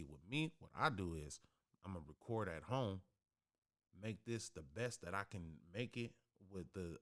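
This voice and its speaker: A man with a deep, bassy voice talks straight into a studio microphone in his home studio. His speech is blunt, streetwise and full of cursing and slang, with a low-pitched voice. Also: fairly bright tone. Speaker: livestream host. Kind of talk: casual livestream talk. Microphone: studio podcast microphone.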